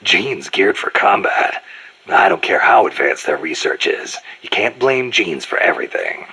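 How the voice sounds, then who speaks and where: An actor playing a gruff, stoic, battle-hardened male soldier in a video game, speaking tersely in a gravelly rasp. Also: low voice, big pitch swings, unhurried, pushed and bright, exaggerated voice, low-quality recording. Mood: skeptical. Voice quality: gravelly, raspy.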